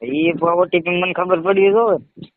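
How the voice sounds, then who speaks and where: A man's voice: sits high, steady tone, a little slow, no echo. A man with a colloquial Saurashtra Gujarati accent on a recorded phone call, casually chatting in a stubborn, naive, confused-sounding way. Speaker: prankster answering a marketing call. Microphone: phone line. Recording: muffled narrow-band phone call recording.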